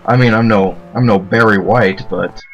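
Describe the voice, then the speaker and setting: A young man with a deep voice giving casual, joking let's play commentary, rambling and laughing as he plays. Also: steady tone, normal speed, fairly bright tone, highs cut off, soft background music.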